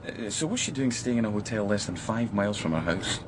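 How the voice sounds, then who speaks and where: An actor playing a patient male police detective, asking questions gently and a little hesitantly in a radio drama. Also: on the low side, lively intonation, a little fast, lightly acted. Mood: questioning. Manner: soothing, slightly hesitant questioning. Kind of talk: naturalistic radio drama acting. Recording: clear background noise, ambience.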